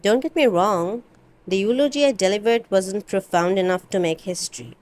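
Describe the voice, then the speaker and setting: A woman reading a first-person novel aloud in an expressive, dramatic amateur audiobook style. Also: medium pitch, moderate pace. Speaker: amateur book reader.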